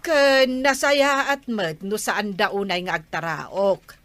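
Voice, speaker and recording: A woman's voice: medium pitch, slow pace, a bit bright, no room sound. A woman with an Ilocano Filipino accent playing a plaintive, protesting village wife in a comedy skit. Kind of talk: amateur comedy skit acting.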